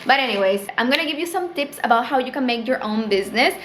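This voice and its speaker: A woman's voice: fairly high pitch, lively intonation, fast, a bit bright, slight background noise. A young woman from Peru with a Peruvian Spanish accent, Spanish her first language, chatting casually to camera about her small business and filling her talk with "like" and "um". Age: young adult. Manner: chatty, fills with "like" and "um", rises for quoted thoughts. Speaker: small business owner vlogger. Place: small indoor room with tea stock shelf.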